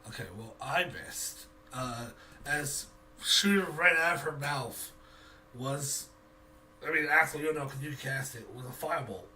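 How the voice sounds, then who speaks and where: A man improvising as game master, narrating in a halting, think-aloud way. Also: medium pitch, pushed and bright, recorded from a distance, slight background noise, rough recording.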